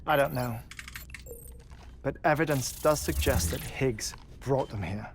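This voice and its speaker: An actor playing a gentle, obsessive male scientist with a weak heart, who talks in a soft, thoughtful, deliberate way. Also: mid-range voice, noisy background, close to an everyday voice. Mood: musing. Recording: game cutscene with music behind.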